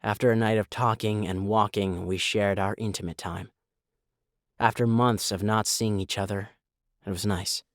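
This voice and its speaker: An actor playing a weary, brooding oil rig worker in a survival horror audio drama, in a subdued, melancholic way. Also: male voice, on the low side, fairly even intonation, normal speed, dry recording, studio quality, natural voice. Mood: reflective. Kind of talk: audio drama acting with first-person narration. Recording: music under the voice.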